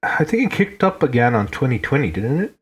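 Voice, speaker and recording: A man's voice: mid-range voice, big pitch swings, a little fast, breathy edge. A man with a Finnish accent who grew up in Hong Kong, a guest on a podcast chatting casually and joking, often restarting his words. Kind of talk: casual chat.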